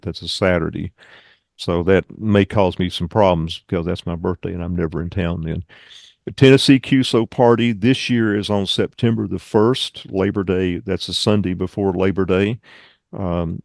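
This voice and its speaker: Low-pitched, creaky-voiced man presenting informally. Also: a little mellow, no echo, studio quality. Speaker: club presenter. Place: video call.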